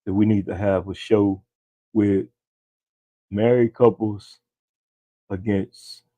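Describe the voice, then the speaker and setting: A man co-hosting a podcast with his wife, with a deep voice, chatting casually and talking straight to listeners. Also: steady tone, slow pace, warm tone, no echo, muffled recording. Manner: laid-back, talks straight to listeners as y'all. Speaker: podcast co-host.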